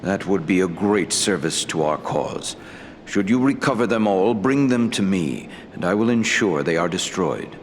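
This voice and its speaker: A man playing a wise, ancient elf lord in a fantasy game, speaking gravely in formal, deliberate phrasing. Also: sits low, expressive, a little hiss or hum. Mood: measured, reassuring. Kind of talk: fantasy game dialogue acting. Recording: long hall reverb, faint music.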